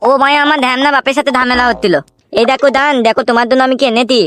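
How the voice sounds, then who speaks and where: Woman voicing a very fast, high-pitched pushy cartoon boy. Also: fairly even intonation, a bit bright, clear, resonant tone, dry recording, studio quality. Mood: boastful wooing. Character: cheeky scheming little cartoon boy, demanding and boastful. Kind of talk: comic cartoon dubbing.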